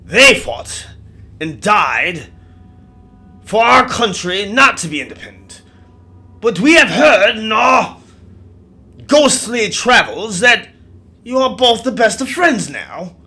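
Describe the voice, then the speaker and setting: A man's voice: high-pitched, big pitch swings, slow pace, long pauses, pushed and bright, pitched above the performer's own voice, full character voice. A man voicing the indignant ghost of an old-time colonel, speaking in archaic, pompous, scolding phrases with a mock old English accent. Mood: scornful, indignant.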